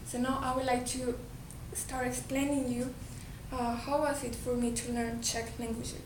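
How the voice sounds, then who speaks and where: High Colombian-accented woman, echoey hall. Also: little pitch movement, moderate pace. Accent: Colombian Spanish. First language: Spanish. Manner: earnest, repeats and restarts words. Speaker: speaker giving a talk. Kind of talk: presentation to an audience. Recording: echoey hall, distant mic, background noise.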